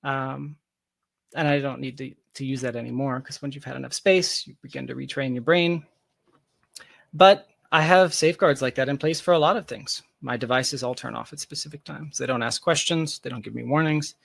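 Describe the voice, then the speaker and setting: A man's voice: mid-range voice, steady tone, normal speed, creaky, a bit bright, dry recording. A man speaking as an interview guest, explaining his work casually and candidly with emphatic, self-deprecating asides. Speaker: interview guest, software founder.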